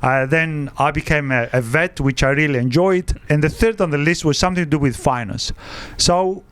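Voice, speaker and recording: Guest spot: man in his 50s, from Egypt, Greek-native, halting speech, smooth clear voice, dry studio. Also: fairly high pitch, fairly bright tone, no echo. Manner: halting, frequent "uh" fillers, non-native grammar. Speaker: guest, wealth adviser. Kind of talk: podcast interview.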